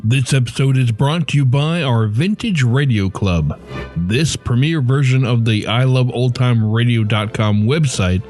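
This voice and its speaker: A man's voice: medium pitch, a little mellow. A man hosting a podcast, reading scripted announcements and introducing the show. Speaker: podcast host.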